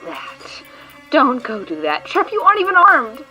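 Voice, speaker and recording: A woman's voice: high-pitched, huge pitch swings, unhurried, pushed and bright, faint music behind, full character voice. A woman voicing a sarcastic, exasperated female guide who swears often, in a fan audio drama.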